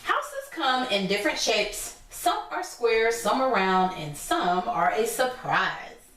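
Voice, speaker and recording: A woman teacher reading a picture book aloud to young children, pausing to explain things simply in her own words. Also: fairly high pitch, very animated, fairly bright tone, a little hiss or hum. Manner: instructive, repeats and explains points simply. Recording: echoey room, distant from mic.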